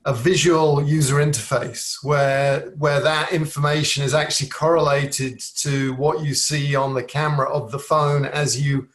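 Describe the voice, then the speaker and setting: A man's voice: fairly high pitch, steady tone, moderate pace, few pauses. A man hosting a tech podcast, welcoming guests and asking questions in an upbeat manner. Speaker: podcast host. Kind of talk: podcast interview.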